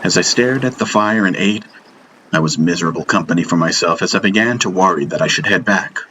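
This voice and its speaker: A man narrating a fantasy audiobook in the first person, in a measured, dramatic storytelling manner. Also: sits low, pushed and bright, slight background noise, low-quality recording.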